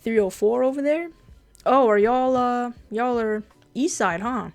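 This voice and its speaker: A woman whose first language is Japanese, with a fairly deep voice, chatting casually and bluntly on a drawing livestream, swearing freely. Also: measured pace, a little mellow, soft background music. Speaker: streamer and artist.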